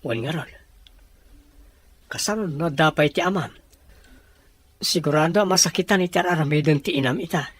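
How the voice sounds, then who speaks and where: An actor playing an anxious, devoted young fiancé, emotional and fretful, in an Ilocano radio drama. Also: male voice, a little high, normal speed, long pauses, husky, no echo. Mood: earnest.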